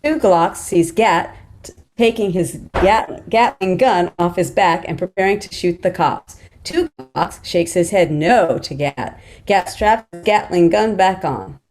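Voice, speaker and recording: A woman's voice: lowish voice, a little slow, darkish tone. A woman reading screenplay action lines as scripted narration. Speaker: stage-direction reader.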